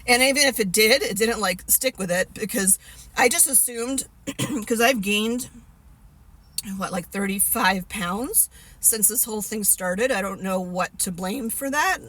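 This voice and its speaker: A woman's voice: mid-range voice, a little slow, a bit bright. A woman in her fifties talking casually to the camera, candid and rambling with many fillers and restarts. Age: 50s. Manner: candid, laughs at herself. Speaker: vlogger.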